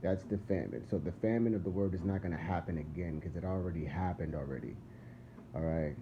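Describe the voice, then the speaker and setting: A man's voice: low-pitched, a little fast. A man from whose was Arabic, preaching in a casual, rambling monologue that repeats words and restarts sentences. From Philadelphia. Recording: dull lo-fi sound with clear background noise.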